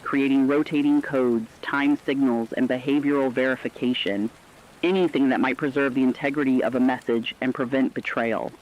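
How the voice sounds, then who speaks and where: A man reading dramatic scripted fiction narration in a serious, measured manner. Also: medium pitch. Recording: dull, lo-fi narration track.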